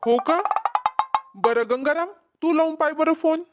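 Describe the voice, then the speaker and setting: A woman's voice: high-pitched, measured pace. A woman with a Vidarbha Marathi accent voicing a helpful, reassuring village husband in a cartoon comedy, with a deferential manner. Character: helpful village husband and father, calm organiser. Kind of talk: amateur cartoon comedy voice acting. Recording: music behind the voice. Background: music.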